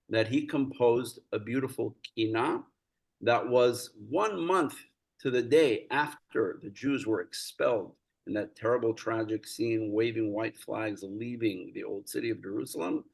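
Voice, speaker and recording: A man with a gravelly edge to his voice speaks in an earnest, lecturing way, his pitch sitting in an ordinary middle range. He slips easily into animated storytelling as he goes. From United States. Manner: earnest, storytelling, gets animated and laughs while recounting. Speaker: rabbi, guest speaker. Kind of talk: lecture-style talk.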